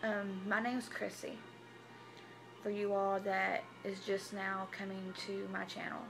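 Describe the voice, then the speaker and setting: A woman, telling her personal story to camera in a halting, hesitant way with many restarts. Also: medium pitch, flat delivery, gravelly, music in the background, low-quality recording. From Tennessee. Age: 20s. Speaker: vlogger. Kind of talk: personal confessional vlog.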